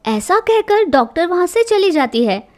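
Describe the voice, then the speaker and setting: A woman's voice: very high voice, sing-song, normal speed, a little mellow. A woman narrating a scripted family story as a storyteller.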